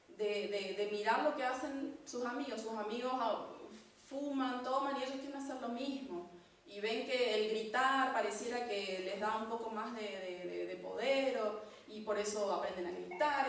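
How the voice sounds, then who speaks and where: A woman from Argentina with an Argentine accent, giving a church teaching talk in an unhurried way, with fillers and restarts. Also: a little high. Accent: Argentine Spanish. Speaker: church speaker. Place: church hall. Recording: echoey hall, distant mic, faint noise.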